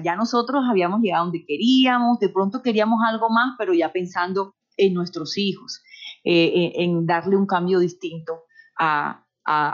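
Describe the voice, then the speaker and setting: A middle-aged woman with a softened Colombian Caribbean accent, chatting expressively as an interview guest. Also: fairly low pitch. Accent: Colombian Caribbean coast, somewhat neutralized. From Barranquilla, Colombia. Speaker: interview guest, radio host by profession. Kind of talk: conversational interview, personal anecdotes. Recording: dull lo-fi internet call audio.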